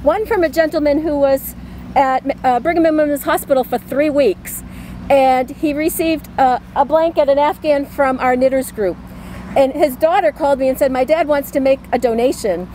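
A woman hosting an outdoor award ceremony from a podium, speaking warmly with frequent ums. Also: high voice, normal speed, slight background noise, low-quality recording. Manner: warm, with frequent "um" fillers. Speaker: senior center organizer hosting a ceremony. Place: outdoors at a podium in front of a brick building. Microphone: podium mic.

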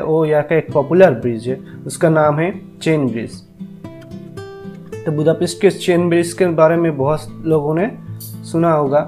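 A man's voice: a little high, mellow. A man giving casual narration for a travel vlog as its host.

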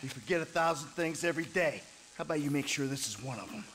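A man's voice: a little high, animated, fast talker, a bit bright. A man playing a controlled, sardonic professional armed robber in a video game, giving terse commands. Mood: cool, menacing. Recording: game audio with noisy background.

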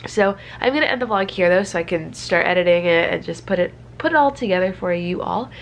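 Congested, creaky-voiced young woman vlogging. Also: on the low side, expressive, a little fast. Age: 20s. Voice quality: stuffy, congested nose. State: congested from a cold. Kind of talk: casual chat to camera. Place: small bedroom indoors. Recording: faint background room noise.

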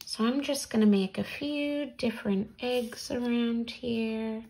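A woman in her thirties, speaking deliberately as a teacher presenting read-alouds and crafts for children, recorded in a small room at home. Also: fairly high pitch, fairly even intonation, mellow, a little hiss or hum, low-quality recording.

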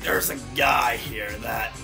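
A man's voice: mid-range voice, steady tone, takes it slowly, music in the background, lightly acted. A man voicing a goofy, offhand, scheming cartoon villain with a drawling delivery in a comedic fan dub. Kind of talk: comedic fan dub of video game cutscenes.

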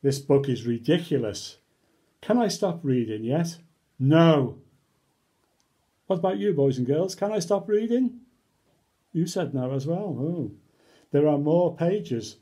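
A man giving an animated, theatrical read-aloud of a comic children's story, full of exaggerated expression and mock-reluctant asides. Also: fairly high pitch, measured pace, pauses often.

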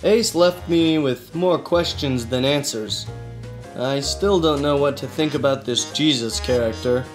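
A man's voice: medium pitch, unhurried, soft background music, natural voice, rough recording. A man playing a weary, skeptical hardboiled detective with colloquial talk, in a noir-parody radio drama. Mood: pensive narration. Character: exasperated, hardboiled male detective questioning his cousin.

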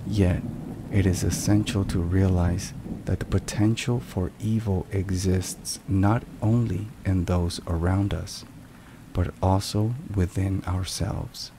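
Man, low pitch, unhurried pace, hushed ASMR delivery, scripted devotional narration, measured. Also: gravelly. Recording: steady rain and thunder noise. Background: rain and thunderstorm sounds.

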